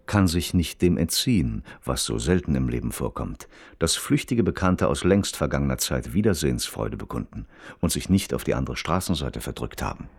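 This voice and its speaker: Extremely deep male radio play narrator. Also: animated, normal speed, darkish tone, breathy edge, no room sound, clean studio sound. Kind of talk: scripted narration, documentary-like report. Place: dry studio.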